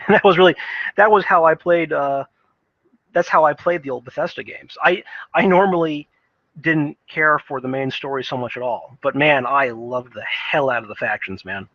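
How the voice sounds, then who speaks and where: A man's voice: mid-range voice, no room sound. A man with a mid-range voice talks off the cuff about video games, earnest and emphatic. He rambles and doubles back on his words. The sound is dry and close, with a dull, lo-fi edge. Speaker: video game commentator. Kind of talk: casual unscripted opinion commentary on video games.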